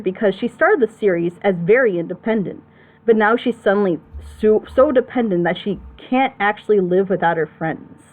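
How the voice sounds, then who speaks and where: A woman's voice: medium pitch, phone-quality sound. A woman giving casual critical commentary on storytelling as a panelist, emphatic and opinionated, explaining her points at length. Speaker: panelist on a reaction show.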